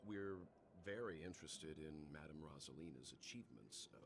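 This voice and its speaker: Game role: courteous, reserved gentleman, rumbling bass pitch, measured manner, noisy ambience. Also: lively intonation. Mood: curious, probing. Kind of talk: video game character acting.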